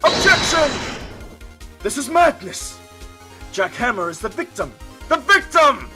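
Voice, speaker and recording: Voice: high voice, sing-song, a little slow, loud music behind the voice. A performer playing a haughty, indignant male prosecutor with a scornful manner in an amateur game fandub. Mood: outraged.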